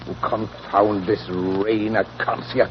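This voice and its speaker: An actor playing a fussy, grumbling but amiable English gentleman sidekick, with a British accent, in a 1940s radio drama. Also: lowish voice, level tone, a little slow, natural voice. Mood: uneasy muttering. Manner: fussy, blustering, indignant. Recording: muffled, noisy 1940s radio recording.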